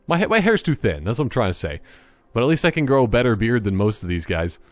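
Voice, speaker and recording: A man giving casual gameplay commentary in a rambling, joking, halting way. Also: mid-range voice, sing-song, no room sound, soft background music. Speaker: gaming commentator.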